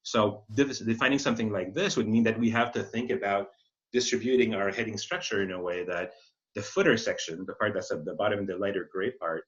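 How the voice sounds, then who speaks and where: A man presenting a webinar in an explanatory, unscripted way, often halting and rephrasing mid-sentence. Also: lowish voice. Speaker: webinar presenter, accessibility expert. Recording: slightly dull, lo-fi webinar audio.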